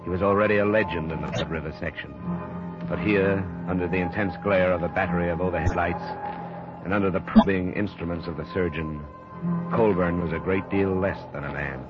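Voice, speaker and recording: Low-voiced hard-boiled radio investigator played by a man. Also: natural voice. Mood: grave narration. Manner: measured, terse, deadpan. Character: composed, hard-boiled male insurance investigator. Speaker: actor playing the lead and narrator. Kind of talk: 1950s radio detective drama, first-person narration. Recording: muffled old radio broadcast, music behind.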